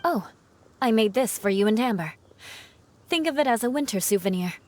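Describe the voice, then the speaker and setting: A woman's voice: a little high, lively intonation, moderate pace, a little hiss or hum, close to an everyday voice. An actress playing a composed, kindly, no-nonsense young woman in a video game. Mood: brisk, modest. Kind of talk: video game cutscene acting.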